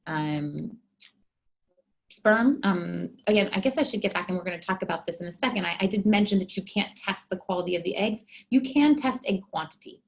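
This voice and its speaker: A woman fertility doctor giving an educational talk, explaining clearly with frequent fillers. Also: medium pitch, animated, fairly quick.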